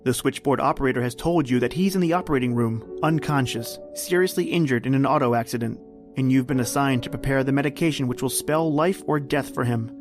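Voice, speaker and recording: A man performs an ominous old-time radio suspense narrator. He is knowing and sardonic, addressing the listener as the guilty one, in a medium-pitched voice over music. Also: fairly quick, close to an everyday voice. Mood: ominous, taunting.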